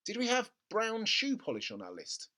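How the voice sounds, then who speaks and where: A storybook reader voicing a helpful, thoughtful young boy in a careful, deliberate manner. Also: medium pitch, huge pitch swings, bright, forceful tone, voice pushed up, full character voice. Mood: remembering. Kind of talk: children's storybook character voice.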